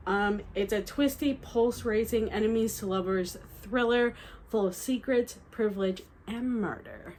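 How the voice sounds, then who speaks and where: A young woman with a slightly nasal voice from a cold, chatting excitedly through a book haul with fillers and restarts. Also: medium pitch, a little hiss or hum. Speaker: book vlogger. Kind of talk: casual book haul chat.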